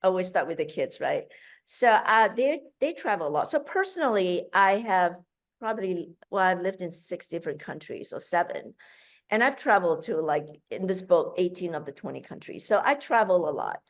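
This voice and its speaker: A woman from Malaysia speaking in an interview as a guest. Her talk is animated and full of fillers and restarts. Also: fairly low pitch, moderate pace. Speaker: guest, founder of a kids' cooking program.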